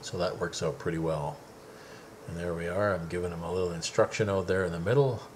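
A man's voice: low-pitched, brisk, darkish tone, slight background noise. A middle-aged man narrating a home video of his family's day by a lake in an easygoing, chatty way. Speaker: father filming a family outing. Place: outdoors by a lake.

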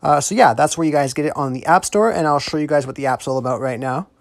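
A man's voice: on the high side, quick pace, vocal fry, slight background noise. A young adult man hosting a casual tutorial, narrating step by step as a voice-over on a close mic. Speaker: host of app tutorials.

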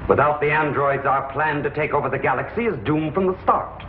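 A man's voice: fairly high pitch, brisk, natural voice. A man playing a scheming, taunting outlaw villain in a 1950s television space opera, with theatrical delivery. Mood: persuasive, scheming. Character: scheming male outlaw villain, menacing and smooth-tongued. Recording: muffled, noisy, echoey 1950s TV soundtrack.

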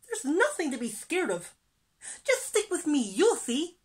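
A woman voicing a cheerful, adventurous little boy fish in a children's storybook, excited and reassuring. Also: sits high, unhurried, voice pushed up.

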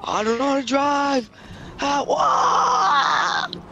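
A woman's voice: on the low side, wildly swooping pitch, moderate pace. A young woman playing a grumbling, destructive male cat deity in an amateur game role-play, whiny and given to exclaiming. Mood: frustrated, whiny. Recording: noisy home game voice chat.

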